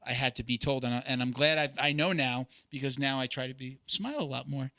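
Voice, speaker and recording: A man's voice: mid-range voice, quick pace, over a noisy crowd. A man hosting a podcast, chatting earnestly in a casual interview and sharing his own stories. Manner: earnest, chatty, hesitant with fillers. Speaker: podcast host.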